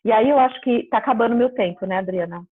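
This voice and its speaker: A middle-aged woman talks briskly on a video call and ticks off her points one by one. Her voice is husky. The line is tinny and phone-like, with a dry, close sound. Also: fairly high pitch, no echo. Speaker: founder of an entrepreneurs network. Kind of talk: panel discussion.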